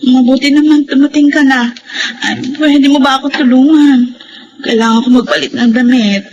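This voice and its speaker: A woman's voice: sits high, fairly even intonation, normal speed, darkish tone, dull audio, a little hiss or hum. A woman playing a needy, reproachful, neglected wife with a Filipino accent in a radio drama, plaintive and complaining. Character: needy, reproachful, neglected spouse Vicky, needing care.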